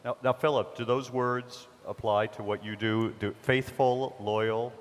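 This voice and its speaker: A man's voice: medium pitch, fairly even intonation, measured pace. A man moderating a panel discussion, asking probing questions and restarting his sentences with small stammers. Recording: echoey hall.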